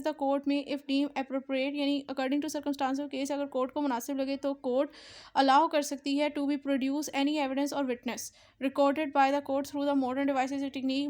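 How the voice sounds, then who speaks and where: A woman with a Pakistani accent speaks in a high voice that stays within a very narrow range. She lectures like a law teacher, reading and explaining provisions, with English terms mixed into her sentences. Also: darkish tone. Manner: mixes Urdu with English legal terms, repeats explanations.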